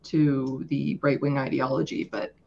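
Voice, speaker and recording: A woman's voice: a bass voice, little pitch movement, unhurried, creaky, a little mellow, dull audio. A woman speaking as a guest in a casual political podcast discussion, explaining her views conversationally.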